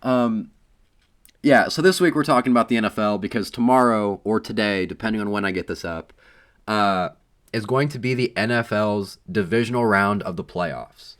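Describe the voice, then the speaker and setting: A low-voiced man co-hosts a casual sports podcast, his voice hoarse from illness. He talks in a loose, teasing way, with plenty of ums along the way. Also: moderate pace, a bit bright. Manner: playful, boastful teasing with frequent ums. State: hoarse from being sick. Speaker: podcast co-host.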